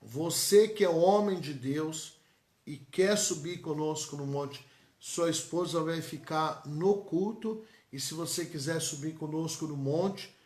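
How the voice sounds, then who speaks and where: Echoey-voiced São pastor. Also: male voice, a little high, a little slow, a little mellow. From São Paulo, Brazil. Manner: emphatic and repetitive, folksy asides to viewers. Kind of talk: live-streamed church announcements and blessings. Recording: echoey church room.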